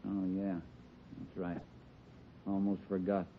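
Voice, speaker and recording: An actor playing a stubborn, threatening, slangy bomber in a 1940s radio crime drama. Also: male voice, deep voice, flat delivery, takes it slowly. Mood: wary, smug. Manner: slangy, tough talk. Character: stubborn, threatening male bomber holding out for his jailed brother. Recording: old radio recording, phone-like, noisy, clicks.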